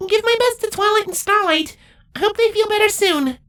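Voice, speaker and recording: A woman's voice: extremely high pitch, fairly quick, a bit bright, voice pushed up. A woman voicing a sweet young female changeling student with a light, polite, slightly dry manner. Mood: polite, teasing.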